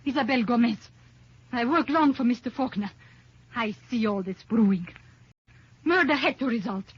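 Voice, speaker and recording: A woman's voice: a little high, normal speed, breathy edge. A woman playing a bitter, accusing office employee in an old radio detective drama, speaking in stilted, formal phrases. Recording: dull, muffled old radio recording.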